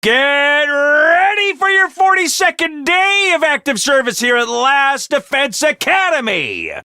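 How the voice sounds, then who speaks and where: A video game's theatrical, scheming drill-master who yells wake-up orders in a high, bright, piercing voice. The voice leaps all over the place, then sinks into quiet menace. The recording is dry and close. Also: male voice, very animated, a little slow, no room sound, full character voice. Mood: shouting cheerfully. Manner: booming, swings between shouted cheer and low menace. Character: manic theatrical male drill-sergeant schoolmaster, scheming. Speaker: voice actor. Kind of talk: video game voice lines.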